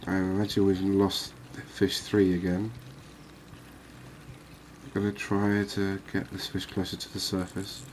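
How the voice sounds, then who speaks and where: Low, even-voiced man, relaxed game commentary. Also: a little slow, pauses often, slight background noise, poor audio. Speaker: host of a cozy fishing game channel. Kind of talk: relaxed ASMR-style gameplay commentary.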